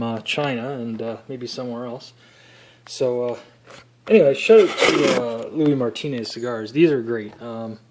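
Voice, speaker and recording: A young man giving a casual, unscripted demonstration, pausing as he thinks aloud. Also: medium pitch, a little mellow. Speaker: electronics student demonstrating a homemade radio project. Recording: noisy amateur home recording with clicks.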